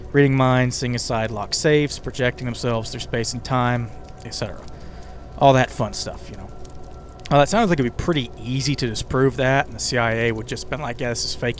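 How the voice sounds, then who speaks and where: A man hosting a podcast with conversational scripted narration, slipping in casual asides to the listener. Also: medium pitch. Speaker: podcast host and writer. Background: ambient music bed with crackling fire sounds.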